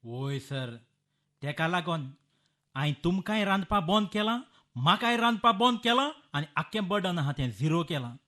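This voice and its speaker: A man's voice: high-pitched, animated, moderate pace, pushed and bright. A man playing a flustered, stammering male servant with a Goan accent in a comic radio play. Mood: flustered, explaining. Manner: stammering, repeats words, pleading. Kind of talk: Konkani musical radio comedy play.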